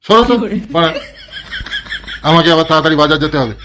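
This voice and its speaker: A cranky middle-aged village husband, voiced by a man, rattles along at a brisk clip in a slightly high voice. He curses at every turn and grumbles about everything. It sounds like a cartoon comedy. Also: slight background noise. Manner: swears constantly, complains loudly.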